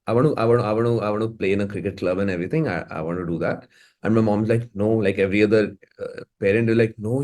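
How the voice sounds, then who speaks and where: A man's voice: lowish voice, darkish tone. A man with an Indian accent chatting casually as an interview guest, informal and often repeating and restarting his phrases. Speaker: interview guest, musician and music producer.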